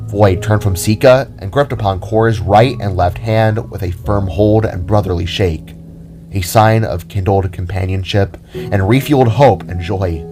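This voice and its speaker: Low-voiced man narrating a drama. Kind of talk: scripted dramatic story narration.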